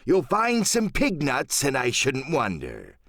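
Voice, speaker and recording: A man's voice: medium pitch, wildly swooping pitch, slow, a bit bright, dry recording, studio quality, heavily acted. A man voicing a sly, insolent old one-legged pirate, coolly mocking and drawling, in an audiobook drama. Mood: cool mockery.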